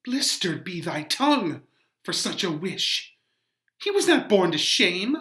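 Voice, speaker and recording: A man performing a distraught, passionate teenage bride in a melodramatic, drawn-out Shakespeare reading. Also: high voice, sing-song, sharp tone, pitched above the performer's own voice, full character voice. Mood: indignant.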